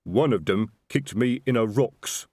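A man voicing a big, slow, stubborn but dutiful male troll sergeant with blunt, uneducated diction, in a storybook reading. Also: medium pitch, darkish tone, dry recording, studio quality, lightly acted. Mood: dark, aggrieved. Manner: blunt, thick uneducated diction, dropped th sounds.

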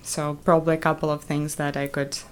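A young woman from Kazakhstan, a Russian speaker, talking thoughtfully as a podcast guest with many pauses. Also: deep voice, level tone, slight background noise. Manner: soft-spoken, thoughtful, frequent um. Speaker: guest, former international student. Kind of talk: podcast interview.

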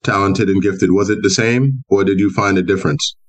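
Laid-back male podcast host, low voice. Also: brisk. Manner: playful storytelling, lots of fillers. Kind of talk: casual interview conversation.

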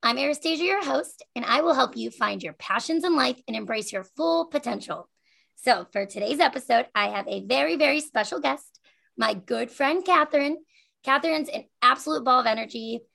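A woman's voice: high-pitched, lively intonation, normal speed, gravelly, pushed and bright. A woman hosting a podcast in a casual, chatty way with a friend, effusive and giggly in manner. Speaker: podcast host.

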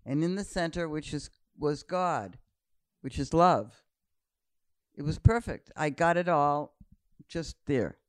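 Older woman in her seventies, smooth resonant voice, dry close sound, calm storytelling pace. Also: low-pitched, slow, long pauses, no room sound. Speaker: guest. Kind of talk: relaxed storytelling conversation.

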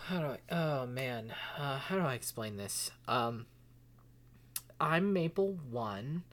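A woman acting an exasperated, volatile character in an audio drama, pleading in halting, stammering, emphatic speech. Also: extremely low pitch, lively intonation, heavily acted. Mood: anxious, pleading.

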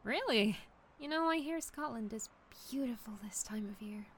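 A woman's voice: fairly high pitch, animated, moderate pace, slight background noise, lightly acted. A woman playing a young TV interviewer and supportive friend in an audio drama, composed and caring. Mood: bright, friendly. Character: sympathetic, upbeat young female TV interviewer and close friend.

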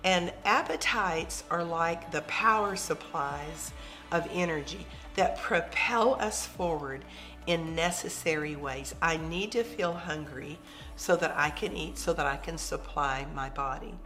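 A hoarse woman with a lowish voice gives a calm, reflective devotional teaching. She speaks in a gentle, measured way. Soft music sits faintly behind her.

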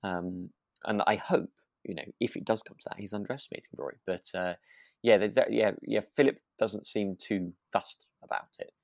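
Co-host for a relaxed review podcast: low-pitched voice, dry close sound, hesitant and rambling delivery. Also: normal speed, vocal fry, no room sound. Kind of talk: casual chat reviewing a radio drama.

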